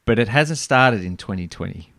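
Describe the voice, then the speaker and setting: A man hosting a podcast interview, talking warmly with frequent ums and restarts. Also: on the low side, expressive, normal speed, vocal fry, no echo, studio quality. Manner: warm, hesitant with many ums and restarts. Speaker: podcast host.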